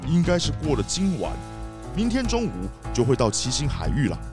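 Man acting an anxious mayor, lively pitch swings. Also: fairly quick, over music. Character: anxious, placating city mayor hosting a cruise.

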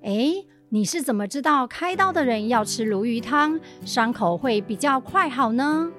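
A woman's voice: on the high side, expressive, normal speed, a bit bright, soft background music. A woman with a Hokkien-tinged Taiwanese Mandarin accent acting a helpful, chatty neighbour auntie in a radio drama.

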